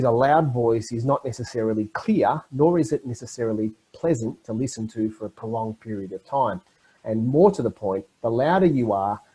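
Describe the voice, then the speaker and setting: A middle-aged man presenting a webinar to teachers over a video call, explaining in an animated way. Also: mid-range voice, husky, poor audio.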